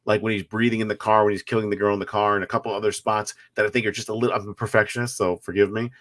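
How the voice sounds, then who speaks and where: A man in his forties, a guest filmmaker, talking movies with emphatic enthusiasm and quick restarts. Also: on the low side. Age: 40s. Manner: enthusiastic, emphatic, repeats words when excited. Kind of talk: casual live-stream chat.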